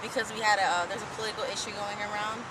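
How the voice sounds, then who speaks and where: A woman's voice: mid-range voice, steady tone, rapid-fire. Young woman from Haiti, hesitant friendly. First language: Haitian Creole. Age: 20s. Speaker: author, guest. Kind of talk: casual interview answers. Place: outdoors in a city. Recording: distant mic with street noise.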